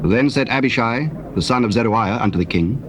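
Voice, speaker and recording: A man's voice: medium pitch, lively intonation, brisk. A man narrating scripture as a solemn, scripted story on a noisy old tape.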